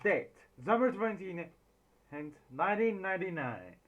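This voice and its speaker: A man's voice: high voice, sing-song, moderate pace, highs cut off. A man reacting casually to a video, joking and swearing freely.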